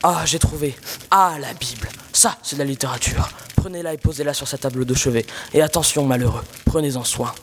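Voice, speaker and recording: A man's voice: mid-range voice, brisk, a little hiss or hum, lightly acted. A teenage boy playing a pompous, grumbling old village priest in a student audio drama. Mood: bossy.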